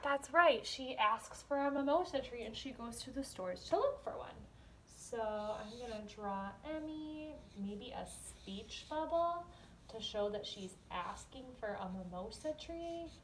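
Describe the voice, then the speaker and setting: Female, kindergarten teacher, high-ish voice, instructional question-and-answer read-aloud style, slight noise on the recording. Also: animated, a little slow, low-quality recording. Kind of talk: read-aloud lesson with questions for young children.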